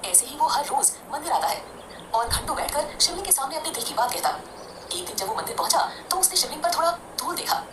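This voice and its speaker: A woman's voice: high voice, big pitch swings, a little fast, noisy background. A woman narrating a scripted devotional story.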